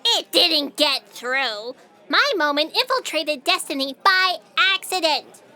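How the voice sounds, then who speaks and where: A flippant young heroine, played by a woman, in an audio drama. The voice is extremely high, bright and very animated in its swings. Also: takes it slowly, exaggerated voice. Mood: indignant questioning. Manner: flippant, wry. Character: cheeky, irreverent young woman sleuth.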